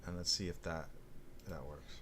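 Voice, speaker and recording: A man live streaming, thinking aloud in a casual, halting mutter as he works at his computer. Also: low voice, background noise. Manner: halting, muttering to himself, frequent throat clearing. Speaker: live streamer, music software developer. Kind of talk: casual livestream talk, thinking aloud while working.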